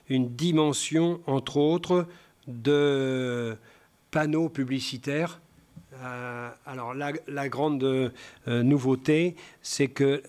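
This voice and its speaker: A man speaking as a local councillor, giving a formal, partly improvised presentation of a report in a halting way, often searching for words. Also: mid-range voice, darkish tone. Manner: halting, hesitant delivery with frequent searching for words.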